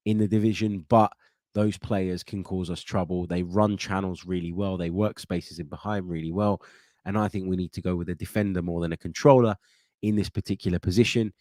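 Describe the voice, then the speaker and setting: A low-voiced man talks through a football preview in an easy, chatty way, stopping and restarting as he thinks. His nose is stuffed up. The sound is close and dry, with no room around it. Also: steady tone, brisk, darkish tone, dry recording. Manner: thinking aloud, many fillers and restarts. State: congested, blocked nose. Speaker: football channel host. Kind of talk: casual match preview chat.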